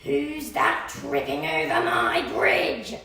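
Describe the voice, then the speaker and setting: A woman voicing a great ugly male troll under a bridge, drawing out slow threats. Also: low voice, wildly swooping pitch, sharp tone, voice pushed down, full character voice. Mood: menacing. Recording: echoey room from a distance.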